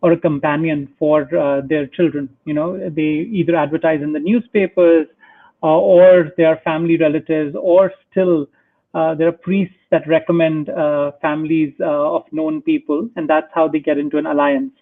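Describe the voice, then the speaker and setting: A man's voice: on the high side, fairly even intonation, normal speed. A man from India, a guest in a remote interview, speaking in a friendly way with frequent hesitations and fillers. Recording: tinny phone-like line.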